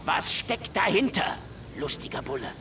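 An actor playing a cynical, menacing, wisecracking hard-boiled detective who narrates a noir radio drama. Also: male voice, on the high side, sing-song, normal speed, bright, forceful tone, a little hiss or hum. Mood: taunting, probing. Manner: sardonic, tough wisecracking. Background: music behind the voice.

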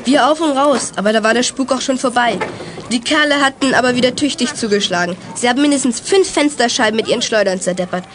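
A tough, impulsive teenage boy played by a woman in a children's radio play. The voice is high and earnest, telling things in short bursts over noisy ambience. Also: quick pace, lightly acted. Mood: aggrieved, earnest.

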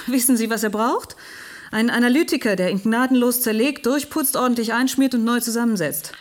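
An actress playing an exasperated, sharp-tongued woman in a German radio drama, curt and sarcastic. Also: fairly high pitch, fairly quick, close to an everyday voice. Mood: fed up, curt.

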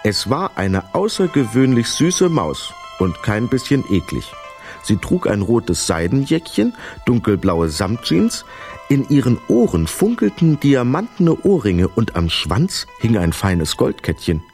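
A man narrating a scripted children's story in an expressive, vivid storytelling manner. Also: mid-range voice, unhurried, soft background music.

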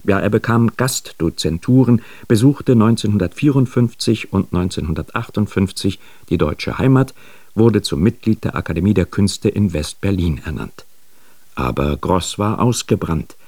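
A man with a low-pitched voice narrates a written biography for the radio. His phrasing is deliberate and emphatic, and the sound is very dry. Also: vocal fry, dark tone, no room sound. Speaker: radio presenter of a biographical introduction.

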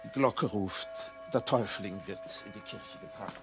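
Radio drama pastor, old, grave and probing, male actor: gravelly low voice, formal, measured delivery. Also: over music, lightly acted. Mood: solemn advice. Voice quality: gravelly, husky. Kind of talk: German radio play acting.

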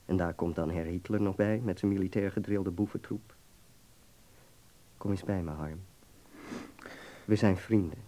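A worldly young student played by a man speaks in a low, dark-toned voice. He is affectionate and teasing, philosophizing with fervour, in the style of a radio play. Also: natural voice. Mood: scornful, then tender, reassuring. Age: young adult. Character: worldly, affectionate German medical student in Berlin. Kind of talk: Dutch radio play acting.

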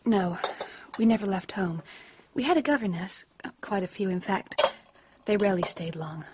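An actress playing a timid, downtrodden woman in her twenties in a radio drama, hesitant, subdued and breathy. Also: medium pitch, moderate pace, darkish tone, slight background noise, natural voice. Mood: shy, hesitant. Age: 20s.

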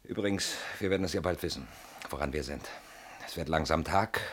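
An actor in a radio play playing a haunted, weary older man who is defensive and brooding, swinging between murmurs and sharp outbursts, with a rough voice. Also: rumbling low, brisk, full character voice. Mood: defiant.

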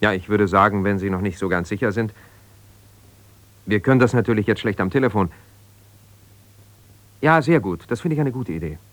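An actor playing an ambitious, self-justifying lawyer in a German radio drama, speaking haltingly and conversationally as if on the phone. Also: male voice, lowish voice, dry recording, natural voice. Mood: measured, advising. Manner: conversational, halting, with repeated phrases and pauses.

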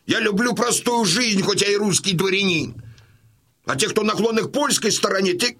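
An actor playing a boisterous, domineering old Cossack colonel and father in a Russian radio drama, with a rough, commanding, folksy delivery. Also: high-pitched, normal speed, fairly bright tone. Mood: fiery, defiant. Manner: commanding, hearty, folksy, declamatory.

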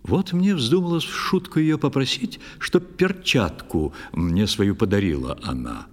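A man's voice: medium pitch, a little slow, long reverb tail. An actor playing a proud, ardent young knight who tells his adventures in dramatic verse for a children's audio play. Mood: animated recollection. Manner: dramatic, expressive declamation. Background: faint music.

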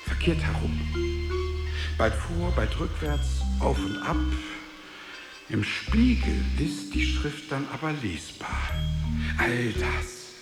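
A man playing a tormented, world-weary merchant full of guilt and longing for death, in solemn, deliberate radio drama delivery. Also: mid-range voice, big pitch swings, echoey room, loud music behind the voice. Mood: bleak brooding. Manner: grave, deliberate, declamatory delivery.

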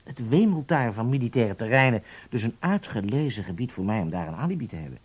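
A calculating undercover spy in a radio play. His voice is husky and of middling pitch, with lively swings in intonation as he deliberately lays out his plans in close conversation. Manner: deliberate, explanatory. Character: calculating male German undercover spy, affectionate with partner. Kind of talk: Dutch radio drama acting, intimate dialogue.

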